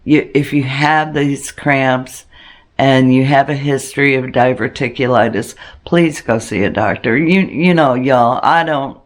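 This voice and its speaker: A man, talking casually to his vlog viewers in a halting way, with many pauses and restarts. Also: medium pitch. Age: 60s. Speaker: homestead channel host. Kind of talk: casual personal vlog talk.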